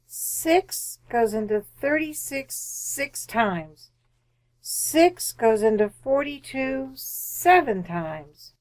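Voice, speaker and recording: A woman's voice: high voice, animated, drawn-out words, exaggerated voice. A woman playing a young, inquisitive, whiny schoolgirl daughter in an old-time radio family comedy. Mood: reciting, protesting.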